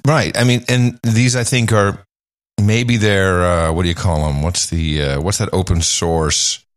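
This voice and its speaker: Rambling man, low voice, dry studio sound. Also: a little slow, no room sound. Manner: rambling, animated swings in pitch. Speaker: podcast host. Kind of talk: casual podcast chat.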